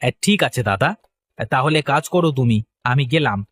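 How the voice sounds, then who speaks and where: A man playing a scheming, jealous village potter with a sly, needling way of talking, in an animated moral story. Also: mid-range voice, normal speed. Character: scheming, jealous male village potter, falsely sympathetic rival.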